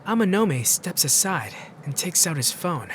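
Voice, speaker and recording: A man plays a tired, wry teenage boy narrating his own story in a visual novel fan dub. His voice sits a little high for a male and sounds dry and matter-of-fact, with faint noise in the background. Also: very animated, a little slow. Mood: dry, wary.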